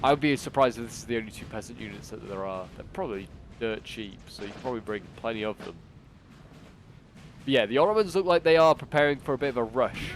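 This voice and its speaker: A man with a cold, his voice stuffy and bunged up, gives loose, rambling commentary on a strategy game battle. His pitch sits in an ordinary middle range. Clear gunfire and artillery from the game sound behind him. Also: expressive, quick pace, background noise. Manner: chatty, thinking aloud with frequent fillers. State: congested with a cold. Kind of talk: casual gameplay commentary. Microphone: new desktop microphone.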